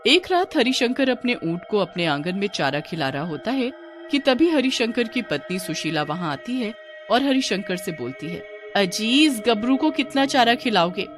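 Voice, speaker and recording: A woman's voice: medium pitch, big pitch swings, moderate pace, music in the background. A woman giving scripted narration of a village horror tale as storyteller.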